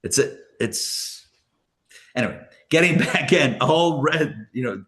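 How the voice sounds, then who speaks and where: Chuckling rabbi teaching a live class. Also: male voice, medium pitch, animated, takes it slowly, a bit bright. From born in Israel, raised elsewhere. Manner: casual, self-interrupting, chuckles often, answers audience questions. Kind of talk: live Torah class, conversational lecturing.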